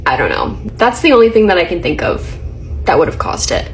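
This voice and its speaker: A woman's voice: on the low side, wildly swooping pitch, brisk, rough recording. A young woman sharing a personal experience in casual vlog chat. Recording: faint background ambient noise.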